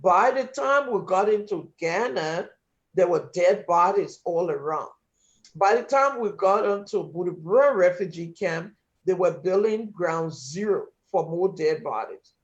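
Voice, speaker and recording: A man's voice: high voice, lively intonation, measured pace. A man from Liberia with a North American accent, speaking earnestly and emphatically as a panelist in a discussion. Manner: earnest, emphatic, some restarts. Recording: dull lo-fi sound.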